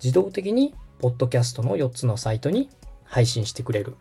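Lively, mellow-voiced man giving instruction. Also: medium pitch, brisk, soft background music. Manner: polite, explanatory, clearly guiding. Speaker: podcast host and tutorial instructor, radio director. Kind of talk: step-by-step spoken tutorial.

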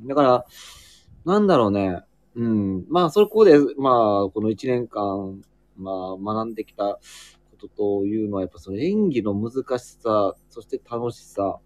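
A man in casual, reflective group chat, speaking haltingly as he searches for his words. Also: fairly low pitch, animated, no room sound. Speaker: acting student, guest in a group chat.

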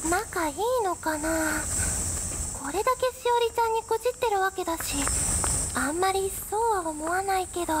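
Very high-pitched anxious schoolgirl. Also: moderate pace, noisy background. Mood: hesitant, puzzled. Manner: youthful, casual, hesitant girlish talk. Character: worried, easily swept-along high school club captain girl. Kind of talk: anime-style radio drama acting with narration.

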